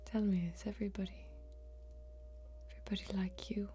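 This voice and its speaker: A young woman playing a kindly, protective fantasy warrior in a soft-spoken ASMR role-play, gentle, whispery, folksy and reassuring, close to the microphone. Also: medium pitch, level tone, a little fast, close to an everyday voice. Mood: admiring, tender. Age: young adult.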